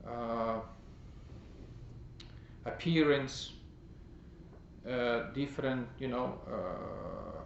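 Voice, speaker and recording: A man, a priest, giving a teaching sermon in a slow, halting way, pausing often and repeating words for emphasis. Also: mid-range voice, lively intonation, creaky. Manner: slow, halting, with many pauses and fillers. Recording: roomy, dull, noisy recording.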